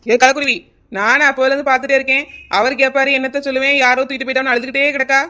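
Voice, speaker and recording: A woman's voice: high-pitched, flat delivery, racing, sharp tone. A woman playing a blunt, impatient village neighbour in a comic cartoon, with a southern Tamil Nadu accent. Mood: exasperated. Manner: blunt, scolding.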